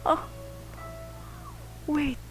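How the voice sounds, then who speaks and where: A woman giving casual, halting reaction commentary as she plays a video game, musing out loud. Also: music in the background, low-quality recording. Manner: musing, halting, thinking aloud.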